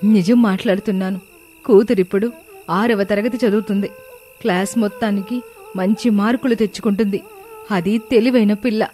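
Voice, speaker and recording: A woman acts out a worried, long-suffering wife in an audio moral tale. Her voice is soft-toned and mellow, plaintive and earnest. Faint music plays behind her. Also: mid-range voice, fairly even intonation, moderate pace, close to an everyday voice. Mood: worried reproach. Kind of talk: dramatised moral story dialogue.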